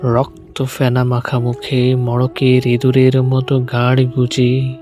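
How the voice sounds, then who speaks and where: Very slow, solemn man reciting poetry. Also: mid-range voice, monotone, dark tone. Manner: deliberate, with weighted pauses between short phrases. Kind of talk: solemn dramatic poem recitation. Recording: music bed under the voice. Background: music.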